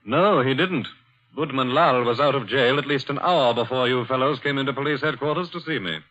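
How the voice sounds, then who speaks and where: A man's voice: medium pitch, a little fast, pushed and bright, natural voice. A man playing a blunt police chief. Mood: matter-of-fact. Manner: blunt, matter-of-fact. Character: police chief, dry and matter-of-fact. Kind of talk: 1940s radio acting. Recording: dull old radio broadcast.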